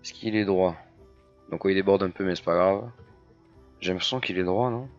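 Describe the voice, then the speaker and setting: Man, very low voice, hoarse, casual gameplay chatter, dull lo-fi mic, faint music behind. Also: moderate pace. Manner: chatty, many fillers and restarts. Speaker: gaming YouTuber. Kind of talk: casual let's-play commentary.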